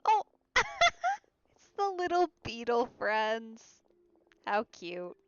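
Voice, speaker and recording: High-voiced, animated woman streaming a game. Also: takes it slowly, clean tone, no room sound, lo-fi sound. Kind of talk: casual let's play commentary. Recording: game music behind the voice.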